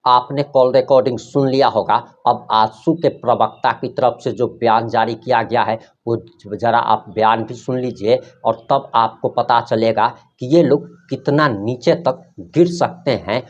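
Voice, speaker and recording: A man's voice: mid-range voice, steady tone, measured pace, few pauses. A man with an Indian accent presenting opinionated news commentary to viewers in an emphatic, accusatory manner. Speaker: news presenter of an online channel. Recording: dull, band-limited sound.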